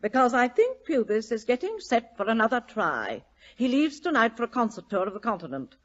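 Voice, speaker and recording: A woman's voice: on the high side, very animated, a little fast, fairly bright tone. An actress playing a vain, imperious, portly middle-aged society lady, grand and melodramatic, in an old-time radio drama. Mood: emphatic, indignant. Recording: dull old radio broadcast recording.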